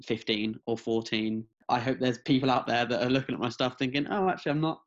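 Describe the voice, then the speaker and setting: A man's voice: mid-range voice, fast. A man in his twenties, raised in England and France, talking earnestly as a podcast guest, with many restarts. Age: 20s. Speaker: guest, mental health advocate. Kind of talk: casual interview over video call. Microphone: video call. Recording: slightly dull lo-fi audio.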